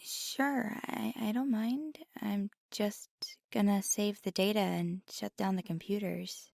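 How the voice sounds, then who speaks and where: Nervous female paranormal investigator played by a woman, ASMR roleplay. Gravelly fry, halting and earnest, very dry close sound. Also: mid-range voice, steady tone, darkish tone, dry recording. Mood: nervous, hopeful. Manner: hesitant, stammering, rambling. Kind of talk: ASMR roleplay, intimate one-sided dialogue.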